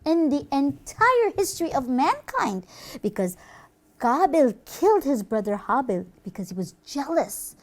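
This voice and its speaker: A woman's voice: high-pitched, very animated, a little slow. A woman voicing an earnest, astonished pupil who scolds the devil in a children's puppet show. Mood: shocked realisation.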